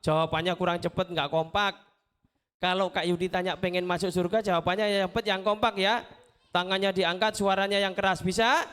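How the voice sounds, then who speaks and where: High-pitched, clear-voiced male children's preacher. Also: fairly quick. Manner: animated, engaging, asks questions and repeats phrases for children. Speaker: children's storyteller and motivational speaker. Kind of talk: interactive Islamic motivational talk to schoolchildren. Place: live event at a school before children.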